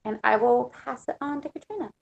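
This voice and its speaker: A woman panelist on a webinar call, speaking in a thoughtful, measured way about her early career. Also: on the high side, creaky, dull audio. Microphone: video call.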